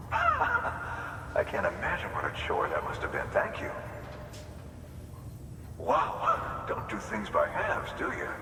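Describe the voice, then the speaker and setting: An actor playing an earnest, awed depot worker in a video game, casual and full of appreciation. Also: male voice, medium pitch, huge pitch swings, slow, gravelly, long pauses, full character voice. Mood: awed, grateful. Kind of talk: video game cutscene acting. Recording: echoey large hall, distant, background noise.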